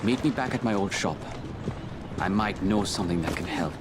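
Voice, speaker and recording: A man's voice: sits low, moderate pace, heavy background noise, close to an everyday voice. An actor playing an earnest, scheming male ally briefing a plan in a video game cutscene. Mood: urgent planning. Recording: loud music behind the voice.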